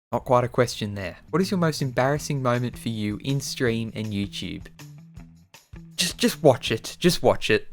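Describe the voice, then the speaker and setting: A young Australian man gives a casual, playful Q&A to camera in a mid-pitched voice. He talks informally, like a YouTuber addressing his followers, with faint music behind him. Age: early 20s. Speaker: YouTuber answering viewer questions. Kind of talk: casual Q&A video chat.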